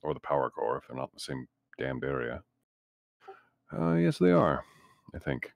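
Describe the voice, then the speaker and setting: A man's voice: extremely low pitch, wildly swooping pitch, moderate pace, vocal fry, dry recording, dull audio. A man playing a tabletop game, talking through plans in a wordy, reasoned way. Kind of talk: casual in-game discussion.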